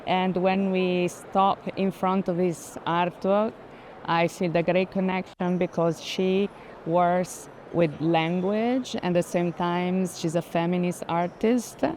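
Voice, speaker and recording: A woman who is a non-native English speaker, giving conversational commentary on art as a guest and pausing to search for words. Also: on the low side, steady tone, a little mellow, low-quality recording. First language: not English. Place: indoor art fair, on the move. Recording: light ambient noise of a busy fair.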